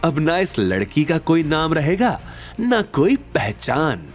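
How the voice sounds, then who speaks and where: A man's voice: a little high, sing-song, takes it slowly, faint music behind, voice pushed up. A man voicing a cruel, gloating scheming woman. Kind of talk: audio drama character acting.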